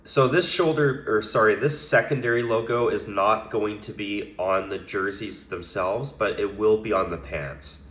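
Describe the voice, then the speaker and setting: A man's voice: lowish voice, measured pace, few pauses, a bit bright, echoey room. A man giving casual, conversational opinion commentary as a hockey vlogger, hedging now and then with fillers.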